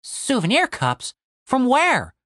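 A sarcastic little halfling man, played by a woman, pitched high and swinging all over. He is crude, whiny and exasperated, always ready to argue in a comic fantasy audiobook, in a very dry recording. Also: sing-song, moderate pace, fairly bright tone, dry recording. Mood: chatty. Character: sarcastic exasperated wisecracking male halfling adventurer.

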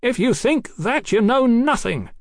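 High-pitched fanatical revolutionary villain. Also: male voice, expressive, unhurried, no room sound, clean studio sound, full character voice. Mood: scornful, fierce. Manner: theatrical, declamatory, taunting. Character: Captain Swing, fanatical taunting male revolutionary villain.

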